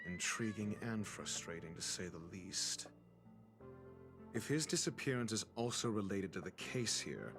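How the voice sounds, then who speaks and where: An actor playing a cool, calculating investigator in a video game, speaking in a measured, formal, sardonic and theatrical way. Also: male voice, lowish voice. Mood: cool, commanding. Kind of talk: dramatic video game voice acting.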